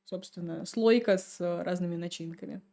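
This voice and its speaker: Podcast guest: woman, mellow tone, easygoing chatty storyteller. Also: on the low side, normal speed. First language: Russian. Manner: relaxed, chatty storytelling with laughs. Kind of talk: casual chat.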